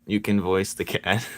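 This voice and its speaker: A man chatting casually with his viewers while he draws, playful and quick to laugh as he banters with them. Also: on the low side. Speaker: streaming artist. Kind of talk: casual chat while drawing.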